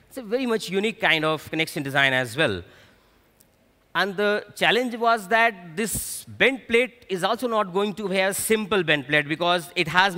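A middle-aged man with an Indian accent explains technical material from a conference stage. His voice is fairly high and bright, with a halting, explanatory delivery. It rings through a large hall with long reverb. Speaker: technical director presenting at a conference. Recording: echoey large hall PA sound.

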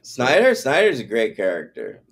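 A man's voice: mid-range voice, wildly swooping pitch, moderate pace, husky. A man co-hosting a podcast, bantering informally and conversationally about TV episodes. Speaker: podcast co-host.

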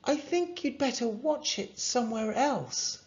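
A narrator voicing a young boy's gentle, caring mother in a children's story. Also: mid-range voice, lively intonation, unhurried, lo-fi sound, voice pushed up, natural voice. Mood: gentle, firm.

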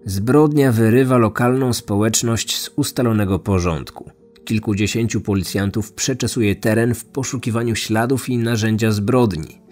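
A man's voice: fairly low pitch, steady tone, normal speed, a little mellow. A man narrating a scripted true crime story as the host of a podcast. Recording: music behind the voice. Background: background music.

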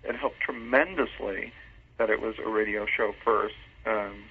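A man's voice: on the low side, a little slow, slight background noise. A man talking as an interview guest in a conversational, emphatic way, acting out his own thoughts. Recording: muffled, tinny phone line.

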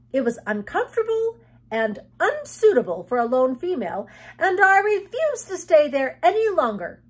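Woman putting on a prim, huffy lady, raised pitch. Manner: formal, haughty wording. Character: prim indignant lady called the Mop, stiff, complaining.

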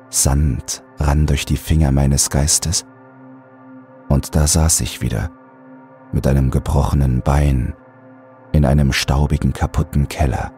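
A man reads a horror story as a dramatic narrator. His voice is extremely deep and his delivery slow, measured and suspenseful. Quiet music plays underneath. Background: soft music.

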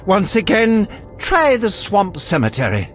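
A man's voice: high-pitched, huge pitch swings, unhurried, a bit bright, soft background music, full character voice. A man acting an eccentric, morbidly curious alchemist scholar in a video game, erudite and precise in his speech. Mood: pedantic, relishing. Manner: erudite, precise, relishing grisly detail.

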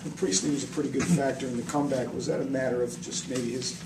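A man's voice: mid-range voice, steady tone, quick pace. A man, a sports reporter, asking conversational questions at a postgame press conference. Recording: echoey, distant, very noisy room.

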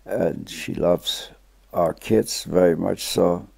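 An older man with a Polish accent, talking slowly and earnestly about his family and marriage in a home interview. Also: sits low, fairly even intonation, vocal fry, a little mellow, slightly rough, no echo. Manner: slow, plain, earnest phrasing. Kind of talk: reminiscing interview. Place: living room at home.

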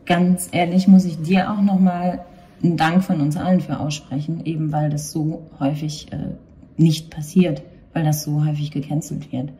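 A woman's voice: low-pitched, level tone, normal speed, warm tone. A woman interviewing guests informally on location, in conversational speech. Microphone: handheld interview microphone.

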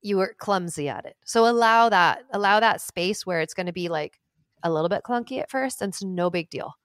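A young woman co-hosting a podcast over a remote call, giving relaxed advice in a chatty way full of fillers and "like". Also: fairly low pitch, fairly quick, no echo, studio quality. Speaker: podcast co-host, intimacy coach. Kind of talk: casual advice chat. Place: small room at home. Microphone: studio mic on stand, headphones, remote call.

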